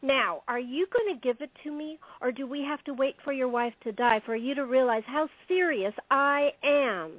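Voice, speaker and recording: A high female voice on a thin, phone-like line as an actress plays the part. She is a cunning con artist in an audio drama, taunting and gloating. The delivery is theatrical and scornful, in a dry sound. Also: normal speed, few pauses, no room sound. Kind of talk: audio play acting.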